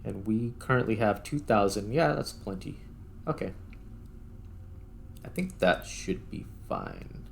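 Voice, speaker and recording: A man's voice: fairly low pitch, huge pitch swings, measured pace, vocal fry, long pauses, a little hiss or hum. A man giving casual gameplay commentary, thinking aloud in a tentative, self-questioning way as he narrates each step. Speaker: gaming video host.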